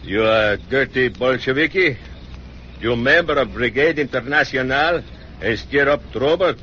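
A menacing Mexican policeman who grills his suspect in broken English with a thick Mexican Spanish accent. The voice rings bright and forceful, with a dull, lo-fi radio-drama sound under a faint hiss. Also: mid-range voice, animated, measured pace. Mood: interrogating. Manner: interrogating. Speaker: police official.

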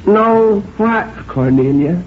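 A man voicing a stubborn, proud old woman on her deathbed, halting and querulous, in a story reading. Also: sits high, animated, voice pushed up. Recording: dull old radio sound, clear noise.

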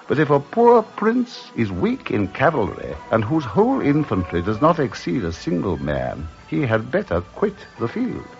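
A breezy gentleman. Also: mid-range voice, huge pitch swings, normal speed, creaky, few pauses, soft background music. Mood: reflective. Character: whimsical English gentleman traveler, nonchalant. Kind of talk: 1950s radio drama acting. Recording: dull lo-fi vintage radio recording.

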